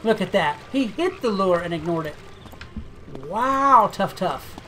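A gamer talking through play in a relaxed, musing way, thinking aloud at a middling pitch. Clear game music runs steadily under the voice. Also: a little slow. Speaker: game video creator. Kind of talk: casual gameplay commentary.

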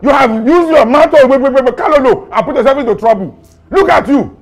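A man's voice: very high voice, sing-song, brisk, faint music behind, heavily acted. A man with a Nigerian accent playing a domineering, scornful police officer in a film drama, repeating himself as he scolds. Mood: scornful, shouting. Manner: repetitive, scolding, mixes Yoruba and Pidgin into speech.

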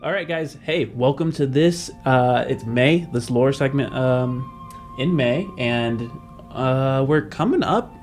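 A man's voice: medium pitch, takes it slowly, soft background music. A man hosting a podcast, chatting casually to listeners and his co-host. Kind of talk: casual chat.

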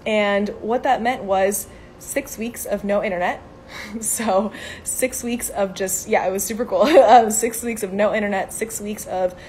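A young woman in her twenties talks casually into a phone held at arm's length outdoors, answering questions on a live stream. Her talk is chatty and upbeat, full of "um" and "like", at a middle pitch. A faint low rumble sits behind her voice. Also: steady tone, measured pace, a little mellow. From Venezuela. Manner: chatty, upbeat, many fillers like "um" and "like". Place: outdoors by a brick building. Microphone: phone camera at arm's length.

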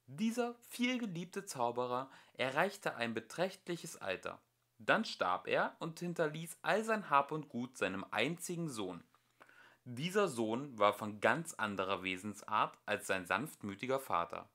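A man narrating a storybook as an amateur audiobook reader, in an expressive storytelling manner. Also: mid-range voice, measured pace. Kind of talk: storybook narration, read aloud.

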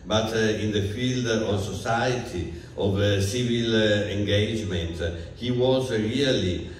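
An older man giving a halting introduction full of fillers at a bookstore event. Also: on the low side, a little hiss or hum. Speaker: event presenter. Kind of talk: formal introduction. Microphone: handheld mic, far camera. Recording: echoey room from far off.

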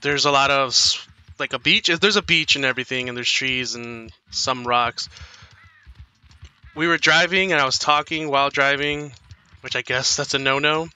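A man's voice: mid-range voice, normal speed, bright, forceful tone, smooth. A young man with no accent commentating his game at home, rambling and apologizing as he tells self-mocking stories. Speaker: gaming streamer. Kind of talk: casual let's play commentary.

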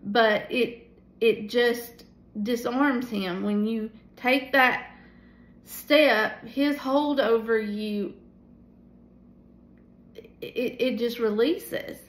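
Slow-talking tired woman teaching Bible study. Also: on the high side, roomy sound. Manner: relaxed, unhurried, with many pauses and fillers. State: tired at the end of the day. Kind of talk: casual devotional teaching. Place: small room at home, indoors. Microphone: phone camera at arm's length.

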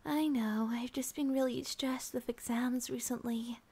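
A woman playing a sleepy, affectionate young schoolgirl girlfriend in an ASMR roleplay, speaking softly and breathily, often near a whisper. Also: on the high side, steady tone, measured pace. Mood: tender, tired. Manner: soft, breathy, often whispering, with sighs and giggles.

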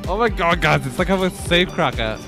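A man's voice: fairly high pitch, lively intonation, brisk, creaky, over music. A young man streaming a game from home, chatting casually and making goofy, melodramatic asides to his viewers. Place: home room with colored lights.

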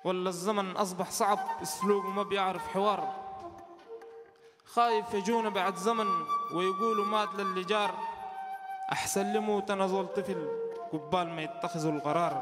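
Guy w doing a fast spoken word poem, kinda high voice, echoey hall w music. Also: steady tone. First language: Arabic. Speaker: poet performing his poem. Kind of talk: rhythmic spoken-word recitation. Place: large hall on a stage with an audience.

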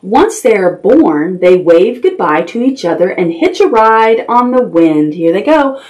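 Middle aged lady doing toddler storytime, reads slow n sing-songy w lots of up and down, asks little questions. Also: mid-range voice, low-quality recording. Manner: simple, expressive, questioning talk aimed at toddlers. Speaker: children's library storytime host. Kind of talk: read-aloud picture-book storytelling with animated asides. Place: small decorated indoor room with puppets.

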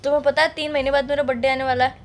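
A woman's voice: sits high, level tone, rapid-fire, a little hiss or hum. A young woman playing an indignant girlfriend in a phone-call drama, scolding in a whiny, accusing way. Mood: testing, annoyed. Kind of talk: scripted comic phone-call drama between a couple.

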